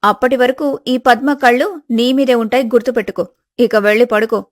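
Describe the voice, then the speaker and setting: A woman's voice: on the high side, fairly even intonation, normal speed, no echo, studio quality, lightly acted. A woman voicing a haughty, suspicious elder daughter-in-law with an accusing, sarcastic manner in a village family story.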